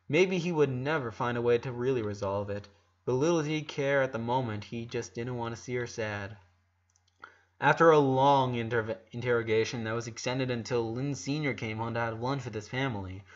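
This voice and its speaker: A man's voice: medium pitch, highs cut off. A man narrating a scripted story aloud, stumbling over a word now and then. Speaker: narrator reading a fan fiction story aloud.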